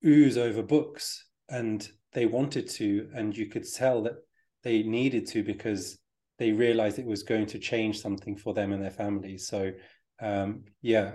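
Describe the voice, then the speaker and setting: Mellow-voiced man hosting an interview. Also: fairly low pitch. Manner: hesitant, fills pauses with ums, restarts sentences. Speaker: podcast host. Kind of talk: interview questions.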